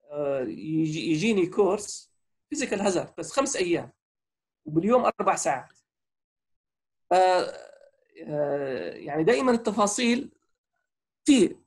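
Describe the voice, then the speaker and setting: A man's voice: fairly high pitch, gravelly, breathy edge. A man lecturing on workplace safety over a video call, using personal examples and many fillers. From Syria. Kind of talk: online lecture, answering questions.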